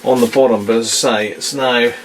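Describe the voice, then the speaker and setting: A man's voice: medium pitch, steady tone, brisk, low-quality recording. A man giving a casual, off-the-cuff demonstration as a hobbyist host, hesitant and trailing off into muttered asides. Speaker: radio hobbyist, video host. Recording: home recording with faint background hiss.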